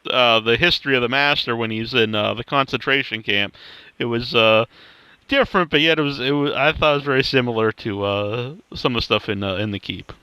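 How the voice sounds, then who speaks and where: A man's voice: medium pitch, brisk, sharp tone, clean tone, no room sound. A man hosting a podcast in a casual, hesitant way, often repeating himself and backtracking. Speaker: podcast host, interviewer. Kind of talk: casual chat.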